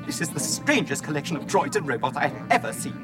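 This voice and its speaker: Fussy robot servant, formal, anxious, swooping intonation, radio drama, music behind. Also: male voice, fairly high pitch, very animated, fast talker. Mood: mortified, weary. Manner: prim, formal, flustered, wordy. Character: fussy, anxious, prissy male humanoid protocol droid.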